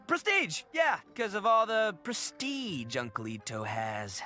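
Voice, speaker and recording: An actor playing a brash, boisterous young oni gang boss in a video game, cocky, blustering and theatrical. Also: male voice, high-pitched, sing-song, measured pace, bright, forceful tone, faint music behind, full character voice. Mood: flustered, playful. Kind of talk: video game character acting.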